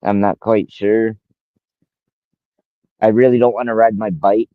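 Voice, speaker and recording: A man's voice: fairly low pitch, level tone, measured pace, no echo, phone-quality sound. A man in his forties rambling casually on a livestream, repeating himself and talking straight to one viewer. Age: 40s.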